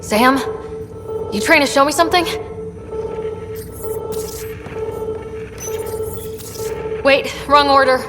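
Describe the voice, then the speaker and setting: An actress playing a young space explorer in a video game, talking in a casual, conversational way. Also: female voice, high voice, moderate pace, natural voice. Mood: puzzled, concerned. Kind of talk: acted video game dialogue. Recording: long reverb, music behind.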